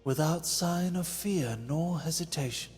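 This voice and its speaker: An actor playing a grave storyteller narrating a fantasy legend in a visual novel, deliberate and formal. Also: male voice, a little high, a little mellow, natural voice. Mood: grave, dramatic. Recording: music behind.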